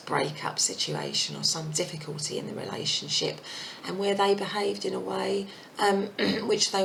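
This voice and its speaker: A woman giving a casual tarot reading, thinking aloud with many fillers, her voice croaky and husky. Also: low voice, noisy background. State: croaky voice, clearing throat. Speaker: tarot reader.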